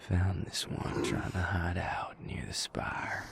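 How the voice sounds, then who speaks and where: A man's voice: sits low, slow pace, creaky, full character voice. A man voicing a cruel vampire who was once a king, taunting his captive in a menacing whisper in an audio roleplay drama. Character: cruel vampire, former male king, sadistic captor.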